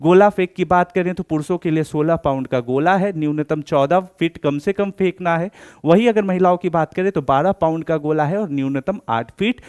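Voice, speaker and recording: A man's voice: a little high, a little fast, darkish tone, smooth. A man explaining things step by step like a coaching instructor, often checking that students follow.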